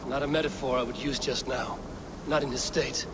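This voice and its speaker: An actor playing a resolute adult Viking warrior in a video game, an earnest and blunt friend. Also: male voice, medium pitch, steady tone, fairly quick, close to an everyday voice. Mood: stern, earnest. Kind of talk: video game cutscene acting. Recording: noisy game ambience behind dialogue.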